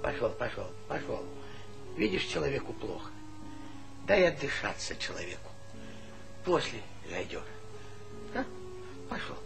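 An actor playing a folksy, fussing old village grandad who coaxes and nags in a Soviet radio play. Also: male voice, mid-range voice, very animated, unhurried, creaky, long pauses, music in the background. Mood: gruff, shooing. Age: older. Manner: folksy, coaxing, nagging repetitions. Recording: noisy 1970s radio drama recording.

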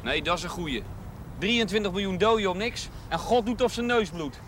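A man's voice: high-pitched, animated, normal speed. An actor playing a cheeky, scornful socialist working man with a blunt, mocking manner, in television drama style. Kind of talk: naturalistic 1970s television drama acting. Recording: noisy outdoor 1970s television soundtrack.

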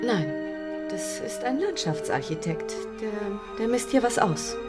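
A woman's voice: a little high, sing-song, a little slow, loud music behind the voice. An actress playing a genteel married woman under suspicion, airy, rueful and evasive, in a radio crime drama. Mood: evasive, uneasy.

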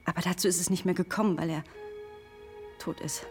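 A woman's voice: lowish voice, fairly even intonation, fairly quick, over music, close to an everyday voice. A woman playing an earnest, resolute activist. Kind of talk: radio drama acting.

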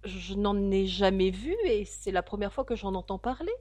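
A woman's voice: medium pitch, moderate pace, darkish tone, dry recording, lightly acted. Woman doing a polite curious little girl. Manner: polite, earnest.

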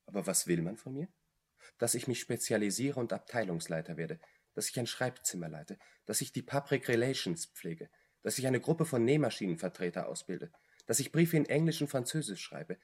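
Young guy after a quiet job, polite but stubborn, bit hesitant, husky mid voice, radio drama. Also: mid-range voice, expressive, normal speed. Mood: plaintive. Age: young adult. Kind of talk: German radio play acting.

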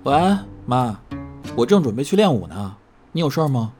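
A man's voice: medium pitch, very animated, normal speed, in a large hall, over loud music, lightly acted. An actor playing a cocky, self-mocking young man in an idol group, in an audio drama. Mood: smug, defiant. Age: young adult.

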